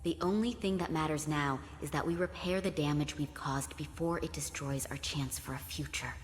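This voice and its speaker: A woman's voice: sits low, moderate pace, natural voice. An actress playing an earnest, slightly wry middle-aged mother in game cutscene dialogue. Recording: long echoing hall, faint noise.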